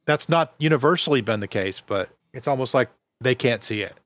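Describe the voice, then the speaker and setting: A man interviewing a guest, with a loud voice, rambling casually with many fillers. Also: medium pitch, animated, brisk, dry recording.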